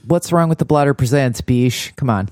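A woman's voice: a bass voice, normal speed, a little mellow, no room sound. A woman co-hosting a casual TV recap podcast with a dry, blunt, sardonic delivery. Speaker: podcast co-host.

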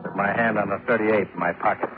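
Tinny old-radio hard-boiled American detective played by an actor. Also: male voice, lowish voice, steady tone, fast, lightly acted. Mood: wry narration. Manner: clipped, wry, matter-of-fact. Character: hard-boiled, wry male insurance investigator. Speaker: lead actor and narrator. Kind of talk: 1950s radio detective drama narration. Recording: tinny old 1950s radio recording, music behind.

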